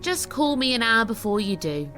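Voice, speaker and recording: A woman's voice: a little high, very animated, normal speed, fairly bright tone, faint music behind, pitched above the performer's own voice. A woman narrator voicing an impatient, defensive young college woman in an audiobook. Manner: defensive, dismissive.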